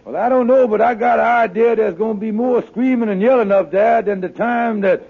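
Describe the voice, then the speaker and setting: A man's voice: high-pitched, a little fast, gravelly, slightly rough, exaggerated voice. A man playing a blustering, slippery con man in a 1950s radio sitcom, folksy in dialect and full of hemming salesman's patter. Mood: agitated. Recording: narrow, noisy vintage radio recording with clicks.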